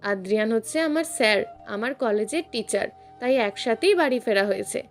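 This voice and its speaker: A woman's voice: fairly high pitch, expressive, normal speed, soft background music, voice pushed down, close to an everyday voice. A woman voicing a timid, hesitant young wife who fears her elder, in an audio story. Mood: nervous.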